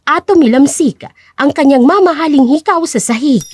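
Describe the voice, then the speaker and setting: A woman's voice: sits high, lively intonation, moderate pace, darkish tone, no echo. A woman narrator reading a scripted story in a dramatic style.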